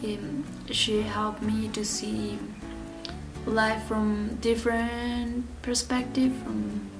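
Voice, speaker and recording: A woman in her thirties from Ecuador telling her own story in an interview, speaking haltingly with many fillers and restarts. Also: a little high, completely flat delivery, recorded from a distance, over music, poor audio. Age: 30s. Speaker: interview guest.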